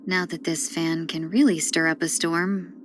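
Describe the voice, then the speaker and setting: A woman voicing a video game character, a gentle, wistful fox-woman who speaks softly and politely in measured, reflective lines. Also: fairly low pitch, fairly even intonation, a little hiss or hum, natural voice. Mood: wistful, composed. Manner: soft-spoken, measured, reflective, drifting into breathy near-whispers; polite and refined.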